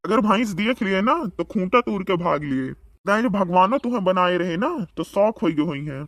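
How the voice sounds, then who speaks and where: आदमी की आवाज़ में ऊँचे सुर वाला झगड़ालू अवधी देहाती. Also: male voice, high voice, animated, moderate pace, a bit bright, dry recording, clean studio sound. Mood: mocking. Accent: Awadhi. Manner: taunting, argumentative banter. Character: bickering village man, boastful and mocking. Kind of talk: comedic cartoon dialogue in rural dialect.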